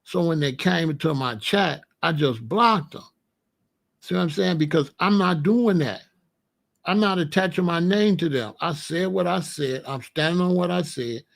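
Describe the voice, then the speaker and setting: A man with a gravelly, somewhat high voice talks bluntly through a casual monologue. His is slangy and repetitive, and he keeps asking whether you follow him. He is confrontational and plain-spoken. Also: normal speed. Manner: repetitive, keeps asking you know what I'm saying. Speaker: commentary channel host.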